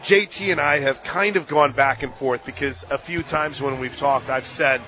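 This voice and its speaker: A man doing live radio play-by-play of softball, conversational between pitches and excited on the action. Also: moderate pace, rough recording. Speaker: play-by-play announcer. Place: radio booth at an outdoor ballpark. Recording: crowd and stadium music behind. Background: crowd, loudspeaker music.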